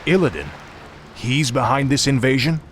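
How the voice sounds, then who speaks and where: An actor playing a grim, weary undead knight prince in a game cutscene, terse and brooding. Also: male voice, mid-range voice, normal speed, a bit bright, slight background noise, natural voice. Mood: surprised. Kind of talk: video game cutscene acting.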